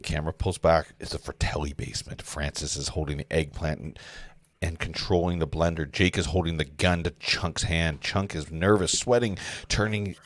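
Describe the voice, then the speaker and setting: A man's voice: rumbling low, steady tone, normal speed, no echo. A man hosting a livestream table read, narrating screenplay stage directions with casual asides.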